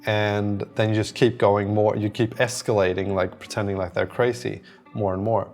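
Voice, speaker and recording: A man's voice: on the low side, level tone, normal speed, a little mellow, soft background music. A man talking casually as a vlog host, thinking aloud in a hesitant, rambling way. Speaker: app developer, vlog host.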